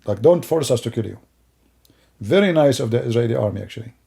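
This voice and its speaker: Gravelly, mocking middle-aged man. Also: medium pitch, expressive, fast talker, warm tone. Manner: mocking, rambling, with broken grammar. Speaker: commentator. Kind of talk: sarcastic commentary over video clips.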